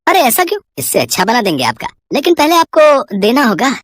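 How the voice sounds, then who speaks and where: A woman voicing a lecherous, sly male tailor in a cartoon story, smooth-talking, boastful and wheedling. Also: fairly high pitch, huge pitch swings, brisk, no echo, studio quality, pitched below the performer's own voice. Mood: oily, boastful. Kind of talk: exaggerated cartoon story voice acting.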